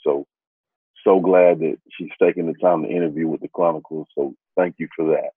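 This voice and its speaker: A man hosts an interview in an upbeat, casual way, filling his questions with "you know". His voice is very deep and husky, and it comes through a tinny, phone-like line that sounds dry and close. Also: steady tone, moderate pace, no echo. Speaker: podcast host. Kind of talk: interview hosting.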